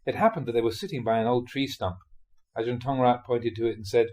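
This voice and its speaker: A man reading Buddhist teaching stories aloud in the animated manner of a storyteller. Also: medium pitch, fast talker. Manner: animated storyteller, acts out dialogue, often chuckles. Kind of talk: audiobook reading of dharma talk storytelling.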